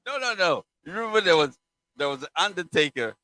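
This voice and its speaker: A man's voice: on the high side, big pitch swings, fairly quick, sharp tone, rough recording. A man co-hosting a casual football chat, who laughs as he retells stories. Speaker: podcast co-host.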